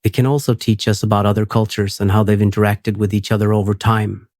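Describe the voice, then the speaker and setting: A man reading the lines of a woman historian guest in a steady, even voice. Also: fairly low pitch, fast, no room sound, studio quality, lightly acted. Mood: earnest.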